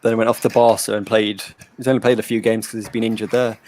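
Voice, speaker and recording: A man hosting a live watch-along, chatting casually, reading out viewer comments and giving shout-outs. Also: on the low side, level tone, fast. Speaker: host. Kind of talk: casual chat.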